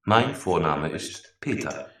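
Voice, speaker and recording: A man reading the lines of a calm computer scientist in his thirties in a slow, carefully spaced course recording. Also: sits low, distant mic, full character voice. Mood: plain. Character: calm 35-year-old male computer scientist. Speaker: textbook dialogue speaker. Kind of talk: slow scripted language-course audio. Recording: roomy course audio heard from afar.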